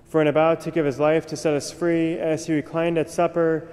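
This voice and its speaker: A young man, a priest, reciting the prayers of Mass at the altar in a measured, solemn way. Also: fairly high pitch, little pitch movement, a little mellow. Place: large cathedral, at the altar. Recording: long cathedral reverb.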